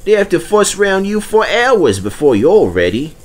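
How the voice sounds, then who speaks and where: A man's voice: high-pitched, very animated, moderate pace, a little mellow, voice pushed up. A man voicing a big, smug, boastful diesel engine in a children's story, with a haughty manner. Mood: smug, scornful.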